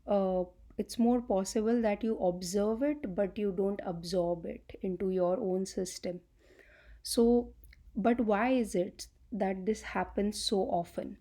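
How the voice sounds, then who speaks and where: A young adult woman talking casually to her viewers, speaking slowly and softly with frequent fillers, recorded without a microphone. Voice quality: low-pitched voice by her own account. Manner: soft-spoken, slow and explanatory, frequent fillers. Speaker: channel host and healing coach. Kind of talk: casual talk to camera. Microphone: no microphone, recorded on device.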